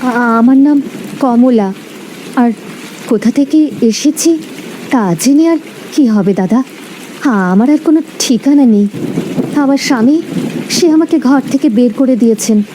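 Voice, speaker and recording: A woman's voice: fairly high pitch, unhurried, long pauses, noisy background, lightly acted. A woman playing a sorrowful, hopeless young village woman in a cartoon story, plaintive, with music behind her. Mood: despairing. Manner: plaintive, despairing.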